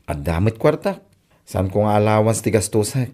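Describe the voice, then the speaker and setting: A man's voice: fairly low pitch, lively intonation, normal speed, dark tone, close to an everyday voice. A man with an Ilocano Filipino accent playing a patient young suitor in a comedy drama. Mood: patient, courting. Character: patient young male suitor bringing treats.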